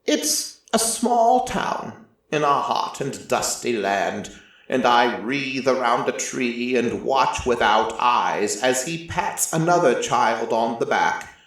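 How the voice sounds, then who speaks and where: A man voicing an ancient, solemn god of prophecy in a dramatic first-person story, with deliberate pauses. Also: medium pitch, animated, a bit bright. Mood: grave, watchful. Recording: heavily echoing, distant-sounding voice.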